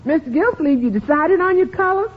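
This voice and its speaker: Wry, animated radio housekeeper. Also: female voice, very high-pitched, fast talker. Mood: deferential. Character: good-natured, knowing female housekeeper. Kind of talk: 1950s radio comedy acting. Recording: tinny, noisy old radio recording.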